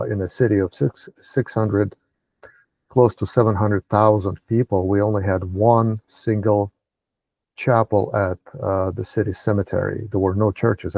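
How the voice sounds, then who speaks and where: A man's voice: lowish voice, gravelly, mellow, slightly rough, no room sound, poor audio. A man from Russia hosting an interview, asking long questions full of hesitant fillers. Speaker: interviewer, podcast host. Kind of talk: casual interview conversation.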